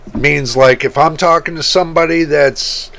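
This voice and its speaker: A man hosting his computer-building channel, talking casually and rambling, with a rough voice that repeats points as he goes. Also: a little high, fairly even intonation, a bit bright. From United States. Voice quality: rough, gravelly voice. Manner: slow, rambling, repeats himself. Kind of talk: casual talk to camera.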